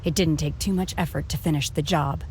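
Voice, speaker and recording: Actress as a very low, reserved young warrior woman. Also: fairly even intonation, a little mellow, slight background noise, lightly acted. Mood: matter-of-fact. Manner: halting, understated, plain-spoken. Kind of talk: video game cutscene acting.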